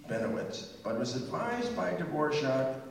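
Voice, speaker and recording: A man's voice: medium pitch, sing-song, a little slow, vocal fry, slight background noise. Older man lecturing from far away in an echoey hall. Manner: chatty and digressive, sings snatches of tunes. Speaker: pre-concert speaker. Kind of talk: informal music history talk.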